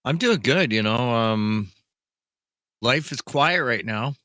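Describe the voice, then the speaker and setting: Male co-host, slightly rough voice, rambling and easygoing, dry sound. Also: mid-range voice, expressive, slow pace, bright, forceful tone, dry recording. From Canada. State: voice a little rough. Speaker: podcast co-host. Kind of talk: casual podcast chat.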